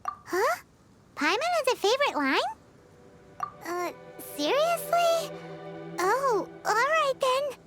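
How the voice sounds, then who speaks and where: A woman voicing a tiny, excitable childlike fairy companion in a video game, squeaky and cutesy. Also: slow, pauses often, heavily acted. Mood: curious. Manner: cutesy, dramatic, refers to self by name. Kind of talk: animated video game character acting. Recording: game music behind the voice.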